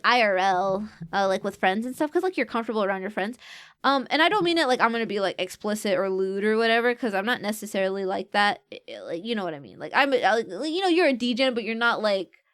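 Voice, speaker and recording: Woman streamer, rambling casual chat, somewhat high voice, pitch all over the place. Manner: chatty, rambling with fillers and self-corrections; pitch swings widely with mood.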